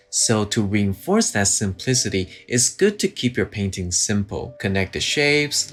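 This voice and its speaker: A man in his forties from Taiwan, calmly explaining a watercolor painting lesson from his home studio. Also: on the low side. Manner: calm, gentle, unhurried explaining. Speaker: watercolor teacher. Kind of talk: painting tutorial. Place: home studio with guitar and shelves.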